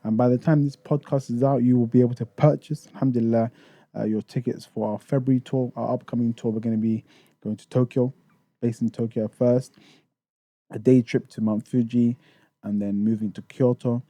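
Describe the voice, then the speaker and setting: A man's voice: mid-range voice, fairly even intonation, a little fast, dark tone, no room sound. A man raised in the UK, talking casually as a podcast guest about touring in Japan, with many restarts and fillers. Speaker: guest, Japan tour organizer.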